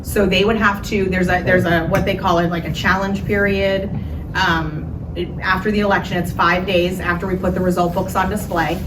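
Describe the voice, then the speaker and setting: A woman's voice: lowish voice, little pitch movement. A woman serving as a county elections director, answering audience questions in an explanatory way and often checking in with "okay?". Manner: explanatory, quick, with frequent "so" and "okay?". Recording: distant roomy mic with noise.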